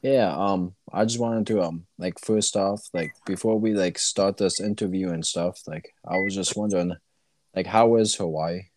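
A man's voice: deep voice, steady tone, moderate pace, noisy background. A young man interviewing guests, hesitant, filling his speech with "like" and "um". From upstate New York. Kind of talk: casual interview.

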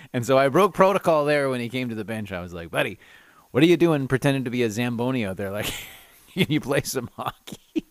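A man's voice: medium pitch, brisk, no room sound. Chatty middle-aged man, close dry sound. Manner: animated, self-amused, chatty, laughs through stories. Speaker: podcast host. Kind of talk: casual solo storytelling and ranting.

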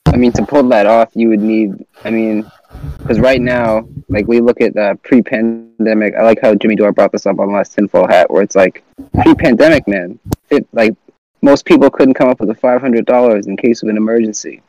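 A man's voice: on the low side, steady tone, moderate pace, a little mellow, no room sound. A man in his twenties chatting casually about politics in an opinionated, slangy way, recorded on dull lo-fi audio. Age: 20s. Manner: opinionated, informal, slangy with profanity.

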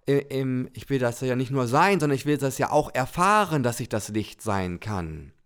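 A man voicing a small, excited, childlike soul in a podcast story, eager and repeating itself. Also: mid-range voice, normal speed, clear, resonant tone, close to an everyday voice.

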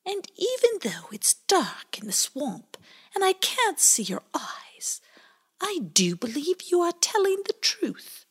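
A woman voicing a stern, mysterious swamp fairy in a hushed, breathy whisper, slow and knowing. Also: very high voice, huge pitch swings, pitched above the performer's own voice, exaggerated voice.

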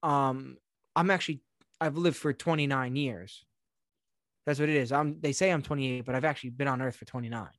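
A man's voice: medium pitch, steady tone, a little fast, a bit bright, no room sound, clean studio sound. A man in his late twenties chatting casually, insistent and argumentative, with crude language. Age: late 20s. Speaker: talk show co-host. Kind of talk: casual bantering chat.

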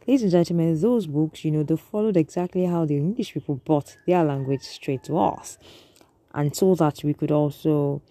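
A woman's voice: deep voice, moderate pace, dark tone, no room sound. A Nigerian woman giving an earnest, lecturing opinion monologue to an audience, often restarting her sentences.

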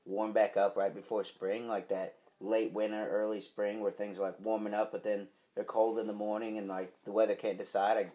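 A fairly low-voiced man chatting casually as a podcast co-host, a little stuffy with a dry cough. His speech is relaxed and halting, packed with fillers as he works through his thoughts. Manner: laid-back, hesitant, lots of "um" and "like".

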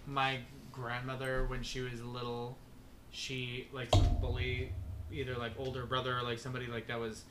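A man's voice: medium pitch, monotone, moderate pace, heavy background noise. A young man co-hosting a casual podcast, rambling with lots of fillers and swearing freely. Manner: loose, swears a lot, rambling with many fillers. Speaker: podcast co-host.

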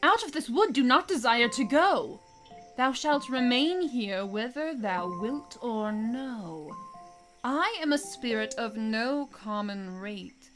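Slow, high-voiced fairy queen, played by a woman. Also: animated, fairly bright tone, faint music behind. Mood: commanding, doting. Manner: lyrical, regal, theatrical. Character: imperious, enamoured fairy queen. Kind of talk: Shakespearean audio drama.

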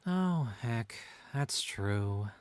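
Man acting a wry, animated stay-at-home dad. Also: mid-range voice, extremely slow, smooth, dry recording. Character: playfully bickering, doting stay-at-home dad. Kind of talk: two-hander audio drama reading.

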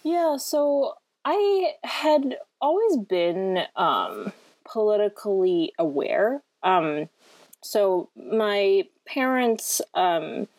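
A woman's voice: medium pitch, lively intonation, drawn-out words, a little mellow, no room sound. A woman raised in France and Hong Kong, talking as an activist guest in a casual interview, with many ums and restarts. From born in France, raised in Hong Kong.

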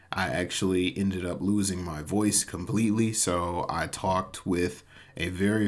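A man hosting his own podcast in a relaxed, chatty way, his voice still a little off after being sick, often tossing in "man" and poking fun at himself. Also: deep voice. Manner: laid-back, chatty, says "man" a lot, self-mocking. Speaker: podcast host. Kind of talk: casual solo podcast talk.

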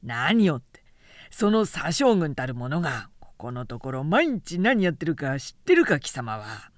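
A woman's voice: low voice, very animated, a little slow, no echo, studio quality. Woman acting blunt, grumbling male warrior. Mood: disgruntled. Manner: rough, blunt masculine speech, grumbling. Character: blunt hot-tempered male warrior, grumbling sworn brother. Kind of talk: literary audiobook dialogue.

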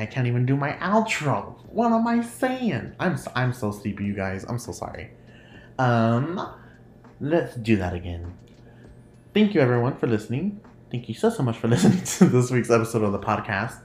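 A man's voice: mid-range voice, sing-song, normal speed, a little mellow. A man with a nasal voice hosting a casual solo podcast, rambling and laughing often. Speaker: podcast host. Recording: roomy echo, faint noise.

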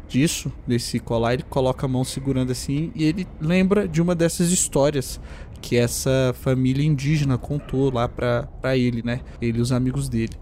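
A man at a tabletop game talks casually and rambles as he describes his character. His voice is mid-pitched and mellow. A faint hiss sits under the recording. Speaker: player.

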